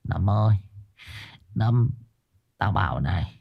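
A man's voice: low-pitched, expressive, slow pace, gravelly, husky, muffled recording, exaggerated voice. Man putting on a wheedling, sly coward. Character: sly, cowardly male helper.

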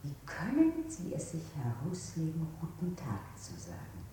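Low-voiced female interrogator, cavernous echo. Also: huge pitch swings, a little slow, warm tone, heavily acted. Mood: sharp, accusing. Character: coldly polite, accusing female interrogator. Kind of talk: 1960s German radio play acting. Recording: very echoey, distant, faint rumbling noise.

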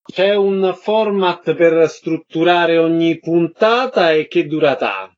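A man's voice: fairly high pitch, expressive, slow, lo-fi sound. A man hosting a radio interview, introducing guests and putting questions to them.

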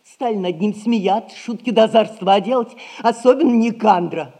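A woman's voice: medium pitch, moderate pace, dark tone, natural voice. An actress plays a humble, worried mother of the townsfolk. She tells her woes in a plaintive, folksy stage manner. The recording has long hall reverb. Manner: folksy, lamenting storytelling. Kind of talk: theatrical 1960s audio play.

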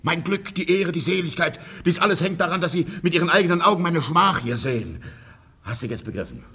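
A man's voice: on the high side, expressive, brisk, sharp tone, slightly rough, full character voice. A man playing a jealous rich farmer husband in a radio play, blustering, exasperated and given to muttered threats. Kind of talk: German radio play acting.

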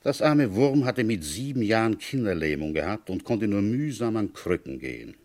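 An actor playing a seasoned middle-aged village police commissioner who narrates and questions with deliberate, dramatic phrasing in a radio drama. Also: male voice, fairly low pitch, very animated, a little mellow. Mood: brooding narration. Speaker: detective narrator.

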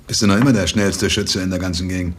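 A man's voice: low-pitched, fairly even intonation, fast, noisy background, close to an everyday voice. A man acting a brooding, laconic widower in a radio drama, telling his story in an understated, terse, wry way. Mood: quiet, probing. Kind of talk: radio drama acting and first-person narration.

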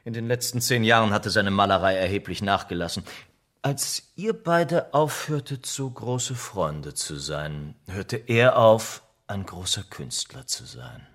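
An actor in a German radio drama playing a worldly, cynical, middle-aged aristocrat with an urbane, ironic, theatrical delivery. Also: male voice, lowish voice, animated, unhurried, fairly bright tone. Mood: amused, detached. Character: worldly, cynical aristocratic older friend, witty and languid.

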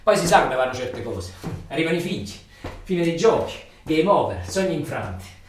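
An actor playing a weary, embittered factory worker in a theatrical radio monologue, with a southern Italian, Neapolitan accent and incantatory, repetitive phrasing. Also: male voice, fairly high pitch, big pitch swings, normal speed. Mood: resigned. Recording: echoey room, far from mic, noisy.

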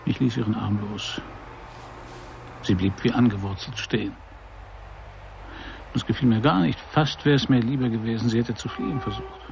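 A man's voice: mid-range voice, normal speed, gravelly, pauses often, slight background noise, natural voice, poor audio. An actor playing a middle-aged private detective in a noir radio play, narrating his case in a dry, laconic, sardonic way. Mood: cool, probing. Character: hard-boiled male private detective narrating his case, wry and probing.

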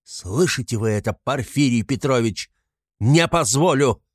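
A man's voice: fairly low pitch, normal speed, a little mellow, no echo, studio quality, natural voice. A man playing a proud, overwrought young male suspect in a novel, speaking in emphatic, clipped, defiant declarations. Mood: furious.

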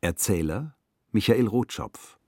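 Very deep, husky male announcer. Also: animated, slow, warm tone, no room sound, studio quality. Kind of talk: scripted radio credits announcement.

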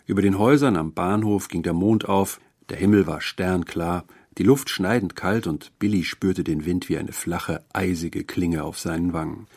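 A man reading a literary short story as a narrator, with measured, carefully articulated delivery. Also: low voice, a little mellow, slightly rough. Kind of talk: scripted literary audiobook narration.

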